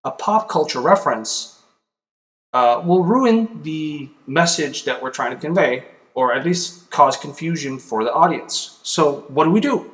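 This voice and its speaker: A man in his thirties from Denmark with a Danish accent, explaining a topic casually to camera in a home office. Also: on the high side. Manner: relaxed, conversational, with fillers and pauses. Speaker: video host. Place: small home office with a computer. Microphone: webcam or desk camera, close framing.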